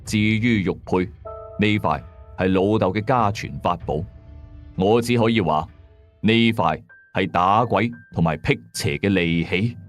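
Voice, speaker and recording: A man narrating a scripted first-person horror story, measured, with conversational asides. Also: fairly low pitch. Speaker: audio drama narrator. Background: background music.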